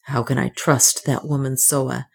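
A woman voicing a troubled, protective male adventurer in a storybook reading, speaking low and hoarsely. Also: level tone, unhurried, darkish tone, full character voice.

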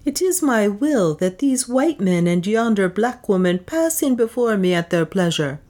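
A woman voicing a young woman treated as a goddess, imperious before priests and frightened in private, her voice lifted higher than her narration. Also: very animated, moderate pace, a little mellow, natural voice.

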